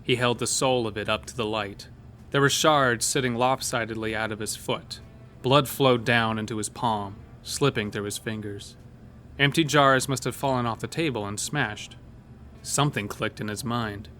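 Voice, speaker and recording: A man's voice: mid-range voice, steady tone, normal speed, a little hiss or hum. A man narrating a scripted horror story. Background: soft music bed.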